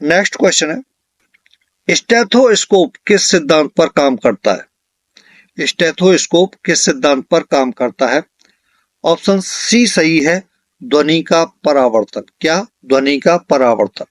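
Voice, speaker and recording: A man's voice: on the high side, animated, unhurried, no echo. A man, recorded dry and close. He teaches in a question-and-answer style, like an exam coach. He says key lines again for emphasis.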